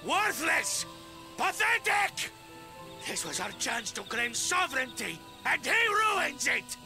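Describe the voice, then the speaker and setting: A man's voice: very high-pitched, very animated, slow pace, vocal fry, over music, exaggerated voice. An actor playing a domineering, scornful father in a video game, with archaic, theatrical speech that is grandiose and cruel. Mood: enraged. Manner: archaic, theatrical speech swinging from silky to raging.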